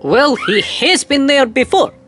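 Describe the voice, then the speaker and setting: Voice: sits high, sing-song, a little slow, over music. An actor voicing a mysterious, formal man in a children's cartoon. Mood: polite. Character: mysterious, formal Russian man in trench coat.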